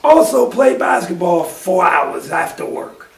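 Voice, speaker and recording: Gravelly man speaking from across a room. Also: sits high, big pitch swings, measured pace. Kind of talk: casual group discussion. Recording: echoey room, distant mic.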